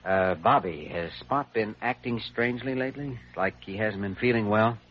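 An actor playing an earnest, hesitant young doctor who reasons carefully in an old radio drama. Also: male voice, lowish voice, moderate pace, close to an everyday voice. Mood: gentle. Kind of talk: 1940s radio drama acting. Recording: dull, lightly noisy old radio recording.

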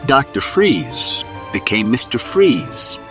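A man tells a scripted adventure story as narrator. His medium-pitched voice is dramatic and very expressive, building suspense with prominent music underneath. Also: takes it slowly.

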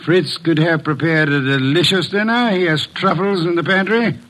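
Pompous, grumbling genius detective played by a man, higher-pitched. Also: normal speed, a bit bright, close to an everyday voice, rough recording. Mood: pompous, dry. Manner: pompous, grumbling, formal wordy speech. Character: bulky, balky, pompous genius detective who loathes hurry. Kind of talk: 1940s-50s American radio drama acting.

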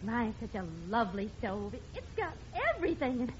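A woman's voice: a little high, animated, fast, close to an everyday voice. A woman playing a modest, flustered, polite housewife in 1950s radio sitcom style. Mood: overjoyed. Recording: muffled, hissy old radio broadcast.

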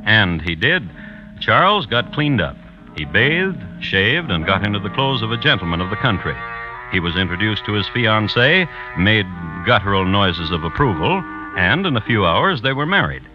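A man's voice: on the low side, sing-song, normal speed, over music. A man giving wry, mock-serious scripted narration for an old-time radio drama. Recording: dull vintage radio recording.

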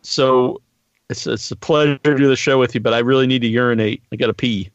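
A middle-aged man hosting a podcast in casual, joking chat, talking in a rambling, halting way with crude humour. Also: medium pitch, steady tone, no room sound. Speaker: podcast host. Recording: muffled lo-fi online stream audio.

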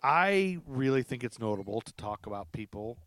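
A man's voice: medium pitch, sing-song, normal speed, fairly bright tone. Rambling middle-aged male podcast host. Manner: self-deprecating, rambling. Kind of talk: casual podcast chat.

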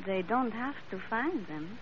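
Femme fatale, theatrical, old radio. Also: female voice, on the high side, animated. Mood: seductive, pleading. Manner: formal, halting English, theatrical. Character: seductive, volatile Iberian femme fatale, murderess. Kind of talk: 1940s radio melodrama acting. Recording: muffled, hissy old radio recording.

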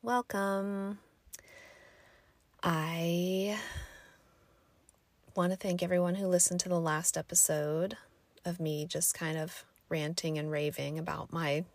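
A young woman talks through a casual solo podcast, her voice hoarse and scratchy from allergies. She speaks low with a gravelly fry, thinking aloud and rambling with plenty of fillers. The sound is dry and close, with no room around it. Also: little pitch movement, slow, long pauses, no echo. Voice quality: raspy, scratchy voice. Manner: thoughtful, rambling, many fillers. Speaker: podcast host.

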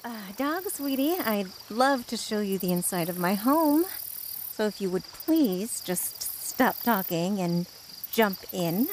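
A woman's voice: mid-range voice, expressive, takes it slowly, a little hiss or hum. A woman playing a falsely sweet, predatory water creature, an impatient villain in a fantasy comedy audio drama. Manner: coaxing, menacing.

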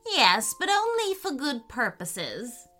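Woman as old storybook witch, kindly, flustered, chatty, animated pitch, bright tone. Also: takes it slowly, soft background music, full character voice. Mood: friendly, chatty. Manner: exclamatory, fussy, repeats herself.

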